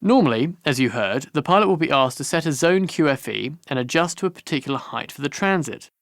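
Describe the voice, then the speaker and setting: A man reading scripted instructional narration for a training course in a measured, formal manner. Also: a little high, animated, fairly bright tone, no room sound, clean studio sound.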